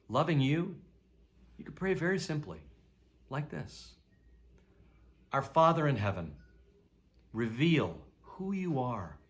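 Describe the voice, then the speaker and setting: A man reading scripture aloud for a church, with emphatic, direct delivery. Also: medium pitch.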